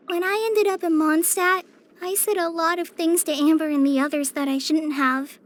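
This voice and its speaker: A woman's voice: very high-pitched, fairly even intonation, normal speed. A woman voicing a shy, apologetic, earnest teenage girl in a video game, hesitant and stammering as she speaks. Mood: flustered, ashamed. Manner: hesitant, stammering, self-conscious. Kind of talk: video game character voice-over.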